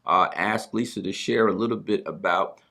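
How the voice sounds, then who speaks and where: Guy hosting a local style talk show, lowish voice, kinda halting and deliberate, chatting and doing plugs. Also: bright, forceful tone. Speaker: broadcast host. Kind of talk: informal hosting, sponsor plugs and interviewing.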